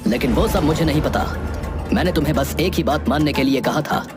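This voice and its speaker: A man's voice: on the high side, fairly quick, lightly acted. A man playing a stern, authoritative village headman and father-in-law in a cartoon moral story. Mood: stern, reproachful. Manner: authoritative, firm. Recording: loud music under the voice.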